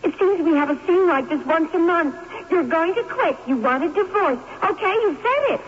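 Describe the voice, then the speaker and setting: Radio drama, spoiled, coaxing wife, high-pitched and theatrical, muffled old recording with noise. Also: female voice, lively intonation, moderate pace. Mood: dismissive, teasing. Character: spoiled, clinging, dismissive wife of a restless husband.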